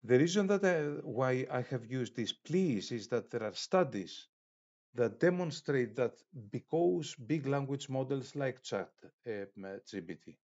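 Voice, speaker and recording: A middle-aged man giving an instructional demonstration as an online course lecturer, speaking in a halting, deliberate way with frequent hesitations. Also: medium pitch, lively intonation, darkish tone. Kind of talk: instructional screen demonstration, unscripted. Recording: dull, muffled lo-fi sound.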